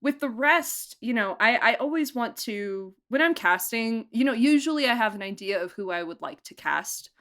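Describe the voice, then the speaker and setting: A woman's voice: on the high side, animated, moderate pace, hardly any pauses, fairly bright tone. Giggly, chatty woman producer. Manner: chatty, laughs often, lots of fillers and restarts. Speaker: producer and director being interviewed. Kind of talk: casual podcast interview.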